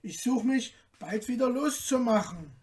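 A man voicing a small, boastful, cheeky tailor in a fairy tale, with a high, light, cocky voice. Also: expressive, unhurried, darkish tone, distant mic. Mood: wary, casual.

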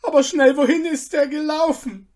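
A reader voicing an old male stable groom who frets and insists, talking higher and louder than the narration, in a storybook reading. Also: steady tone, normal speed, natural voice. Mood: fretful. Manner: insistent, fretful, self-justifying.

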